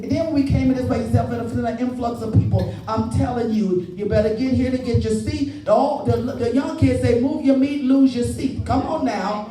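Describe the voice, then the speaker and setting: A woman preacher delivers a testimonial sermon in a conversational storytelling manner, often appealing directly to her listeners. She is heard at a distance in a very echoey church space, with music clearly behind her. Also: on the high side, a little fast, hardly any pauses. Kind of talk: testimonial sermon with call and response. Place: large indoor church space.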